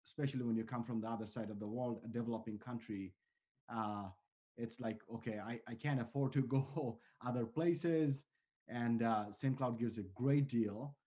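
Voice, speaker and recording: A man's voice: mid-range voice, dark tone. A man in his forties from Bangladesh, a startup founder answering interview questions in an earnest, rambling way. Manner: earnest, talks in long strings of restarts and fillers.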